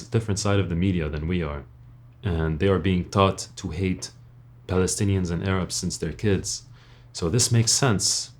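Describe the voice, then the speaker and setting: A young man from Lebanon with a very low-pitched voice delivers an earnest monologue straight to his audience. He talks bluntly and informally, as an online creator would, and leans on rhetorical questions. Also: a little mellow. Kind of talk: earnest personal monologue to camera.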